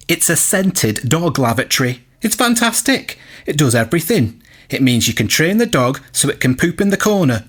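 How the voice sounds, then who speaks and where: An actor playing an excitable enthusiast for modern gadgets, gushing breathlessly in a comic audio drama monologue. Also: male voice, mid-range voice.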